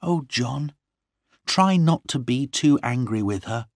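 A man's voice: mid-range voice, animated, slow, no echo, studio quality, voice pushed up. A man voicing a loving, earnest young noblewoman in an emotional, pleading manner, as in an audiobook story. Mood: tender, pleading.

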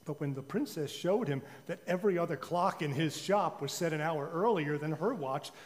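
A man telling a children's story live in a theatrical way, with deliberate pauses. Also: a little high. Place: large church sanctuary. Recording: reverberant church hall.

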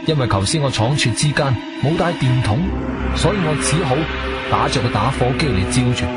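A man's voice: mid-range voice, close to an everyday voice. A male actor playing an agile, intense adventurer who narrates his own radio drama in suspenseful Cantonese. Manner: animated, suspenseful storytelling. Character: agile martial-arts-trained adventurer, urgent and intense. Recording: studio radio drama with music behind.

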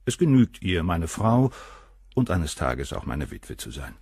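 An actor playing a cool, arrogant, middle-aged surgeon who talks down about the women around him, in a radio play. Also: male voice, sits low, very animated, unhurried, creaky, darkish tone, breathy edge, no room sound, studio quality, exaggerated voice. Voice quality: cool, cynical, dismissive.

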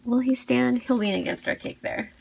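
A woman giving a casual unboxing review as a video host, cooing softly over cute things. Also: on the high side, normal speed, gravelly, heavy background noise. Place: indoors at a tabletop.

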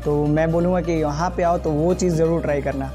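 A young man speaks with a Bihari Hindi lilt, reviewing dishes in a restaurant with easy, eager chatter. His voice sits a little high, and he keeps stringing thoughts together with fillers. Music plays clearly in the background. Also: steady tone, a little fast. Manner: chatty, enthusiastic, lots of fillers. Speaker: food vlogger. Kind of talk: casual food review. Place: restaurant indoors.

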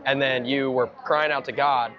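A man's voice: medium pitch, fairly even intonation, normal speed. A young American man in his early twenties from Georgia, speaking earnestly and informally as a street preacher. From Georgia, United States. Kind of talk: casual street evangelism and spontaneous prayer. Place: outdoors on a crowded town street. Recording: muffled outdoor clip with busy crowd. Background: crowd chatter.

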